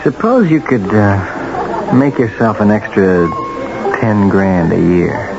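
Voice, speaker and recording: An American actor playing a self-assured, calculating friend, coaxing and deliberate, in a radio drama. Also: male voice, on the low side, lively intonation, gravelly, background noise, full character voice. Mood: calmly sinister. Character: smooth, calculating old friend, calmly tempting toward murder. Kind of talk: 1970s radio drama acting. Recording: muffled old radio broadcast, music underneath.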